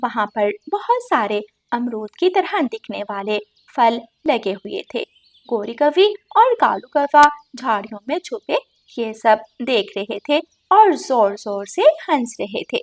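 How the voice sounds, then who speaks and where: High-voiced woman narrating a children's story. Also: big pitch swings, unhurried.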